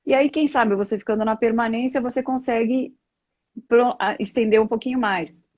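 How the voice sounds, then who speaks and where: A woman's voice: a little high, normal speed, darkish tone, dry recording. A middle-aged Brazilian woman talking conversationally as a live interview guest, explaining things reflectively with examples. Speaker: interview guest, yoga practitioner. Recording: dull, lo-fi live stream audio.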